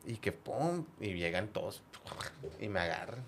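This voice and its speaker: A man with a northern Mexican accent chatting casually and telling anecdotes as a podcast guest, in a halting way with words repeated for emphasis. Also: lowish voice, big pitch swings. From Monterrey area, northern Mexico. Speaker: podcast guest, football fan.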